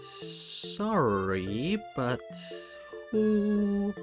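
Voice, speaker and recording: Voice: medium pitch, sing-song, very slow, music in the background, voice pushed up. A performer voicing a weary, wary but polite human visitor in a visual novel, flustered and stammering. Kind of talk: visual novel character reading.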